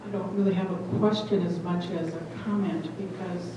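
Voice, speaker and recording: A woman in the audience offering a thoughtful, halting comment at a parish talk. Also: lowish voice, fairly even intonation. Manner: halting, thoughtful, many pauses. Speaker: audience member. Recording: echoey hall, distant mic, noisy.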